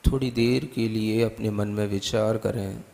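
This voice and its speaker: A man with an Indian accent leading a guided meditation as a spiritual teacher, giving calm, deliberate instructions with long pauses. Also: lowish voice, gravelly. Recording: long hall-like reverb.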